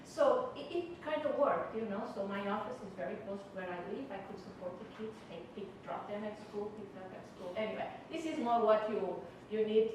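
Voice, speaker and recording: A woman's voice: mid-range voice, a little fast, hardly any pauses, a little mellow. A middle-aged Portuguese woman, a native Portuguese speaker with an accent, giving a chatty, personal tax talk to students with many fillers. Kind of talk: informal lecture to students. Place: campus lecture room. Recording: echoey hall from far off with noise.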